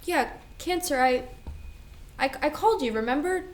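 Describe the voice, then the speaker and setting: Caretaking big sister for stage drama, played by a woman, fairly high voice, gentle and careful. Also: lively intonation, normal speed, a little hiss or hum. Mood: subdued, patient. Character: Rose, responsible, caretaking older sister, grown and as child. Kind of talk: staged play reading.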